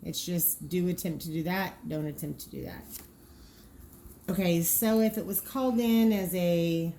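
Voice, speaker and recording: A woman's voice: lowish voice, measured pace, vocal fry, mellow, a little hiss or hum. A woman giving an informal walkthrough as a trainer, practical and explanatory, often thinking aloud. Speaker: trainer presenting draft dispatcher training cards.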